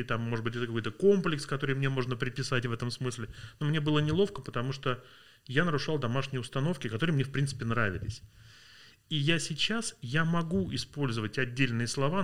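A man's voice: medium pitch, fairly bright tone. A man from Russia, a linguist guest on a podcast, talking thoughtfully with many hesitations and sounding tired. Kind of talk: podcast interview.

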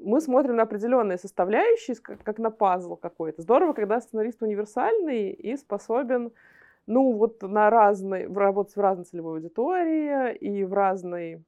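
A woman's voice: medium pitch, lively intonation, moderate pace, warm tone, highs cut off. A woman being interviewed as a guest, explaining things conversationally and often rephrasing herself mid-sentence. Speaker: interview guest from an animation studio.

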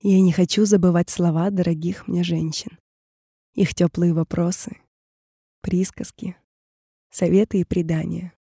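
A woman reading a scripted personal story in a measured, reflective way, in short phrases. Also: fairly low pitch, level tone, gravelly, dark tone, slightly rough, no room sound, clean studio sound. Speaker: narrator of an audio performance. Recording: music bed under the voice. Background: music.

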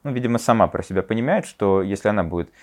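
A man co-hosting a talk podcast, speaking thoughtfully and hesitantly with many fillers and pauses. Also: lowish voice, lively intonation. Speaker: podcast co-host. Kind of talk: casual discussion.

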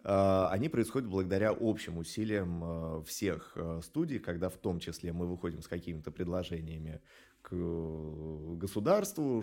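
A man speaking as a studio director in an interview, explaining things in a measured, articulate way with rhetorical emphasis. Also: low-pitched, vocal fry, mellow.

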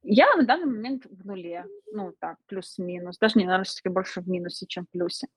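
Woman, casual interview chat, chatty with fillers, mid-pitched, dry close-miked sound. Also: fast talker, breathy edge, no room sound. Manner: chatty, laughs often, many fillers. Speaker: guest streamer.

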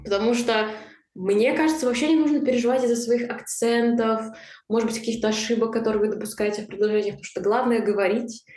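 A woman who teaches German speaks quickly and emphatically, pressing her point in a relaxed podcast chat. Her voice rings in a roomy, echoey space. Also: fairly high pitch.

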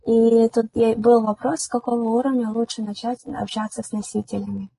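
A young woman hosts the conversation, putting questions to her guest and then talking about herself. Her voice sits fairly high, with a flat, mellow, breathy tone. The sound is dry and close. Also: a little fast, no echo, rough recording. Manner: asks questions, then shares her own story. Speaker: podcast host. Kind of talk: casual chat.

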